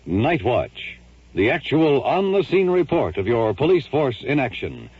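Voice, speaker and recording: A man's voice: medium pitch, sing-song, measured pace, husky. Dramatic male announcer on tinny old radio. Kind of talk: 1950s documentary show introduction, scripted announcing. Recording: tinny, band-limited vintage radio recording.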